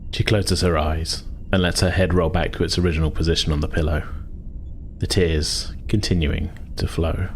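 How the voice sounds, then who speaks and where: A man's voice: low voice, little pitch movement, moderate pace, slight background noise. A man narrating a tabletop science fiction game as game master and host.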